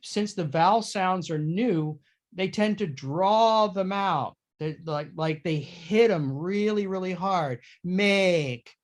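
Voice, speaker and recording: A man's voice: high-pitched, takes it slowly. A man talking casually as a guest in a panel discussion about his craft. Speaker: panel guest, accent-certified performer.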